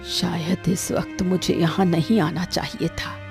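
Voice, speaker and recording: Elderly granny played by a woman for a cartoon tale: careworn, nagging, unhurried, medium pitch, light music behind. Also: fairly even intonation, creaky. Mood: weary, worried. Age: older. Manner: scolding, lamenting. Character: careworn scolding old grandmother, loving but indignant. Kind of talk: Hindi animated story dialogue.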